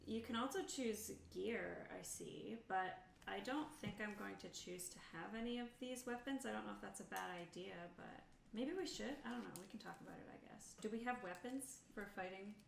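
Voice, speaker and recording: A woman's voice: mid-range voice, a little fast, gravelly, few pauses. A woman chatting casually as a player in a tabletop game. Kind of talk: casual chat at a game session. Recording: echoey room, faint background noise.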